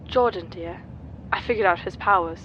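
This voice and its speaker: A woman's voice: on the low side, normal speed. A woman as a cool, muffled earpiece adviser. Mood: cool, composed. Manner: calm, precise, explanatory. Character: cool, composed female mission adviser on an earpiece. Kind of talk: audio drama acting. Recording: band-limited earpiece sound, faint background noise.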